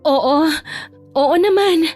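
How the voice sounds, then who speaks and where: A shy young romance heroine, voiced by a woman, high and sweet with a wonderstruck manner. Soft music plays behind the audio drama delivery. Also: drawn-out words. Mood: shyly happy.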